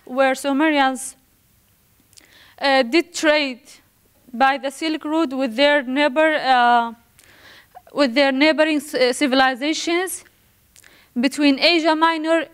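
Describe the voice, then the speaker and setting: A young woman from Iraq gives a prepared academic presentation as a student, nervously and haltingly, stumbling over words as she reads carefully. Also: sits high, fairly bright tone. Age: young adult.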